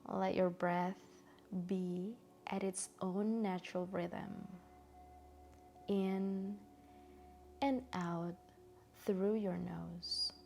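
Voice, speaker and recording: Slow, softly creaky woman guiding meditation. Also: fairly low pitch, steady tone, a little hiss or hum. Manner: gentle, soothing, soft-spoken with long pauses. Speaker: meditation guide. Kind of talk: guided meditation narration.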